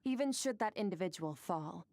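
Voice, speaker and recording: A woman voicing an aloof, powerful otherworldly swordswoman in a video game, cool, haughty and measured. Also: lowish voice, expressive, darkish tone, no room sound. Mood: grave, detached. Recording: faint game music behind.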